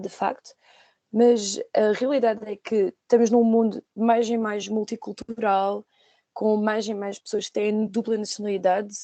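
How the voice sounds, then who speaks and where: Woman, 20s, podcast guest. Soft-edged mellow tone, close dry sound, hesitant conversational delivery. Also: mid-range voice, no echo. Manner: hesitant, searching for words with repetitions. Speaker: podcast guest, former trainee. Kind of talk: conversational interview answers.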